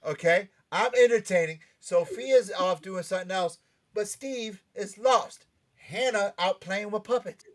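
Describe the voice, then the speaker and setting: A man with a somewhat high voice hosts a live podcast. He banters excitably and jokingly. He rambles and often repeats phrases for effect. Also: measured pace. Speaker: podcast host.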